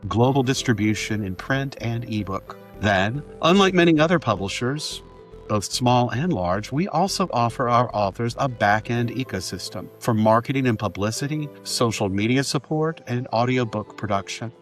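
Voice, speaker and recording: A man's voice: mid-range voice, normal speed, faint music behind. A man speaking as a podcast host and publisher, presenting and interviewing. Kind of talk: scripted podcast introduction, then casual interview.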